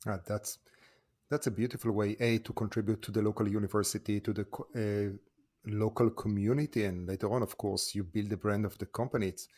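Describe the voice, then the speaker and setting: A man's voice: fairly low pitch, steady tone, a little fast, darkish tone. A man hosting a casual interview podcast, asking long, friendly, rambling questions. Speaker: podcast host.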